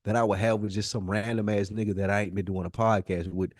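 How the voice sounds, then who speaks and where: A man's voice: fairly low pitch, flat delivery, fast, a little mellow, dry recording, clean studio sound. An American man co-hosting a podcast in casual, argumentative chat, blunt and emphatic, repeating himself to press his point. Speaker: podcast co-host.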